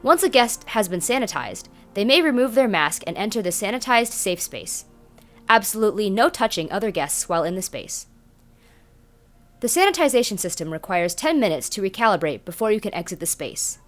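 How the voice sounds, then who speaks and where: A woman's voice: mid-range voice, big pitch swings, a little fast, faint music behind, lightly acted. A woman voicing a polite, detached automated announcer in an audio drama, formal and instructional.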